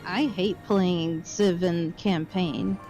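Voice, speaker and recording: A woman's voice: on the low side, steady tone, takes it slowly, muffled recording. A woman co-commentating on a game stream, making short, blunt, contrary remarks in casual chat. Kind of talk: casual chat about games. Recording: music clearly behind the voice. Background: music.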